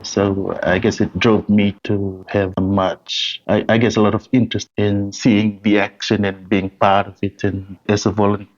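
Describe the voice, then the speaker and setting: A man's voice: on the low side, little pitch movement, moderate pace, hardly any pauses. A man from Fiji, a humanitarian guest, talking in a remote interview over a video call, hesitant and often restarting. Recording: dull lo-fi call audio.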